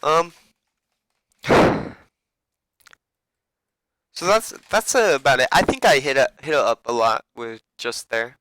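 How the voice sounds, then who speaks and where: A young man giving a loose, profane, rambling coaching review of a player's game, with a raspy voice. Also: mid-range voice, very animated, takes it slowly, long pauses, fairly bright tone, dry recording.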